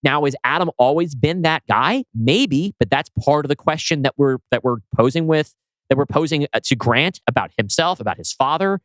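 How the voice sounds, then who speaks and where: A man's voice: fairly high pitch, lively intonation, moderate pace, a bit bright, no echo. A man co-hosting a podcast, casually explaining his own writing, posing rhetorical questions and swearing offhandedly. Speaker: podcast co-host and scriptwriter.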